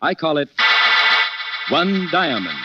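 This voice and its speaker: A man acting an urbane, confident detective who also hosts, wry and brisk, in 1940s radio style. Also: fairly high pitch, very animated, loud music behind the voice. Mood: genial, storytelling. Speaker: host and narrator. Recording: dull 1940s radio recording.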